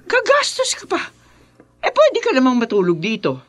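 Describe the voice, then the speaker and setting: A woman's voice: high voice, huge pitch swings, moderate pace, exaggerated voice. A woman playing a doting, protective older mother in a Filipino radio drama, emphatic and emotional, with a Filipino accent. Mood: indignant, protective.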